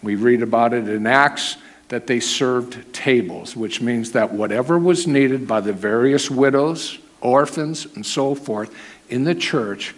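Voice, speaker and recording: A man teaching a sermon in church, with a naturally loud voice held down, talking in a conversational way and asking the congregation questions. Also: medium pitch, a little slow. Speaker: preacher. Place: church hall.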